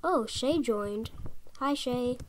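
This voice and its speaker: A woman's voice: a little high, slow pace, slight background noise. A young woman hosting a casual gameplay video, chatty and teasing, often mumbling to herself. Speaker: gaming channel host.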